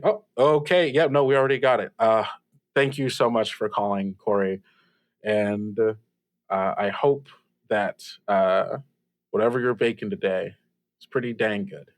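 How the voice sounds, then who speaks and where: A man's voice: mid-range voice, no echo, lightly acted. A man playing an earnest, hesitant host of a late-night radio call-in show. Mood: friendly. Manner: hesitant, halting, sincere.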